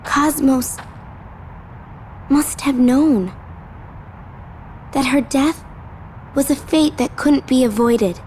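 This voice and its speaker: Young game heroine, high-pitched, tender, halting and unhurried, earnest. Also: female voice, darkish tone, a little hiss or hum. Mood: troubled, earnest. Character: gentle, hesitant young heroine. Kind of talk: earnest video game voice acting.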